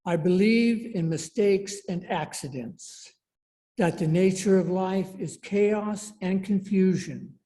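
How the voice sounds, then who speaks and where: A woman leading a church service, giving announcements and reading a meditation in a measured, deliberate way. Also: mid-range voice, warm tone. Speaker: worship service leader.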